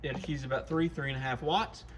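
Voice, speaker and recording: A man's voice: medium pitch, fairly even intonation, fast, slight background noise. A man hosting an informal, plain-spoken product demonstration for a video channel, explaining things casually as a shop owner.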